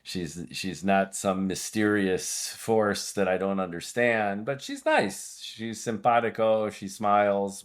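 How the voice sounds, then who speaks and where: A man hosting a podcast interview, a native Hungarian speaker who talks in wordy, digressive stretches. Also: on the low side. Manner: discursive, wordy, prone to digressions. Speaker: podcast host.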